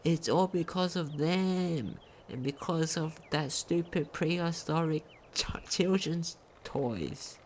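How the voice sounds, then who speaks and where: A game commentator with a creaky, mid-pitched voice over faint noise. The delivery is casual and unhurried, like someone reading on-screen text aloud, with occasional stumbles. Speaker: gaming video commentator. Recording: home recording with faint noise.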